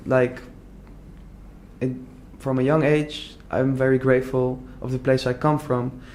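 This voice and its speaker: A young man from the Netherlands talks through his story in a loose, earnest interview. His voice sits at a middling pitch and he circles back often, stacking "like" and false starts as he thinks aloud. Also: flat delivery, measured pace, a little mellow, slight background noise. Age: young adult. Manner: earnest, rambling, many "like" fillers and restarts. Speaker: entrepreneur guest. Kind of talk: casual conversational interview.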